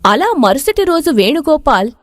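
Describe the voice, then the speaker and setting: A woman reading an expressive scripted moral story as its narrator. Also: high voice, normal speed, no echo, clean studio sound.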